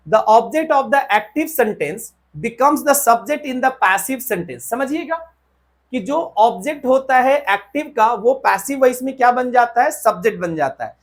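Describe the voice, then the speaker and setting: High-voiced Indian woman teaching step by step. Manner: didactic, repeats key points and checks understanding. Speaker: English grammar teacher. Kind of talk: instructional lesson, explaining step by step.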